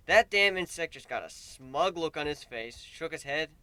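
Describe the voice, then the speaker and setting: A man reading a first-person story aloud in a theatrical, exasperated way, dropping low and bursting loud. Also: animated, moderate pace, clean tone. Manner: theatrical, exasperated delivery, swinging from hushed to shouted. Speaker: story reader.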